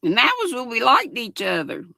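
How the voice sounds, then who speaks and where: A woman's voice: fairly high pitch, huge pitch swings, moderate pace, vocal fry, pushed and bright, dry recording. An older woman hosting a casual livestream, chatting folksily and giggling as she reads viewer comments aloud. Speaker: livestream host.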